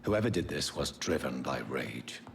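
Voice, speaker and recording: A man playing a grave, compassionate doctor in a video game, speaking in a measured, formal, analytical way. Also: a bass voice, expressive, creaky, background noise, exaggerated voice. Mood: grim, analytical. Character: grave, compassionate male doctor with a dead heart. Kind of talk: dramatic video game acting. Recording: orchestral cello music behind.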